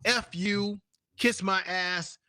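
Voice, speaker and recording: A man's voice: sits high, takes it slowly, sharp tone, no room sound, clean studio sound. A man hosting a live stream, giving a heated, profane political rant. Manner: profane, angry, repetitive ranting.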